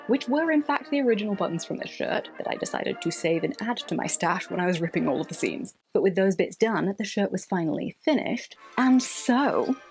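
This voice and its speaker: A woman delivers a scripted vlog narration with expressive, theatrical emphasis. Her voice sits at a middling pitch for a woman. Music plays faintly behind her as she talks through a craft project. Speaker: sewing vlog host. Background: background music.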